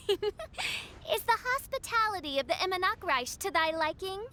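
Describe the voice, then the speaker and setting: A woman's voice: very high-pitched, lively intonation, unhurried, pushed and bright, a little hiss or hum, heavily acted. A woman playing a haughty, theatrical young princess in a video game, pompous and formal with archaic grandeur. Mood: gracious, grand. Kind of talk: video game cutscene acting.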